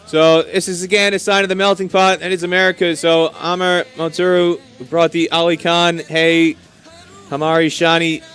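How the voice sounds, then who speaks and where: Higher-pitched, clear-voiced male cricket commentator. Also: fairly bright tone. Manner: enthusiastic, talkative, explanatory. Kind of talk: live sports commentary with chatty asides.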